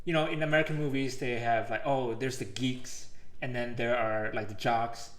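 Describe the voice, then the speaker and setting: A young man from Hong Kong co-hosting a casual podcast, talking in a relaxed, thoughtful way. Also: mid-range voice, moderate pace, reverberant, rough recording. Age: young adult. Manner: relaxed, thoughtful, often restarts and laughs. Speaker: podcast co-host. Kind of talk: casual chat.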